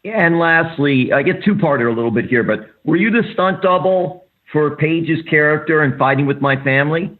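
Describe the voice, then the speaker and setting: A male reporter asking the guest a quick, polite two-part question. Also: a little high. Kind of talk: press question. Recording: tinny phone line.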